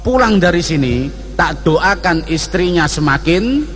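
A man with an East Javanese accent from Surabaya, preaching in a mosque with jokey, animated prayers for the congregation. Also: a little high, unhurried, soft background music. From East Java. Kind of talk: sermon with humorous prayers. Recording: reverberant mosque hall, faint ambience.

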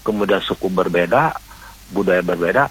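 A man calling in to a radio programme, giving earnest, rambling opinions in a halting, repetitive way. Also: low voice, lively intonation, breathy edge, poor audio. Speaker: radio call-in listener.

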